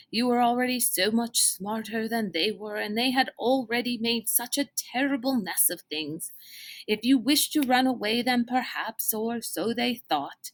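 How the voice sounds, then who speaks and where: A woman reading aloud as a formal, kindly older gentleman mentor who speaks in deliberate, old-fashioned phrases. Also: high voice, exaggerated voice.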